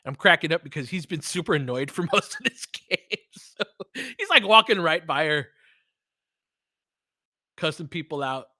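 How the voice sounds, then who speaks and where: Animated man calling a game. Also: on the high side, moderate pace, long pauses, dry recording, studio quality. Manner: conversational, opinionated, mildly profane. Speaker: sports commentator, host. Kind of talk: informal fan play-by-play and analysis commentary.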